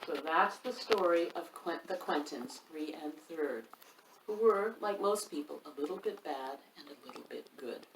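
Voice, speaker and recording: A woman reading a rhyming picture book aloud, with a lively, sing-song delivery and asides to the listener. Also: low-pitched, normal speed, slight background noise. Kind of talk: expressive read-aloud storytime. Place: home room. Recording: roomy echo, mic at a distance.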